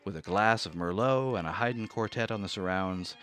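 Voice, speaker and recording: A man voicing a fussy, precise accountant who narrates in a measured, dry way. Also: fairly low pitch, natural voice. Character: fussy, precise middle-aged male accountant, rigid and easily rattled. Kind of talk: radio drama narration. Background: soft music.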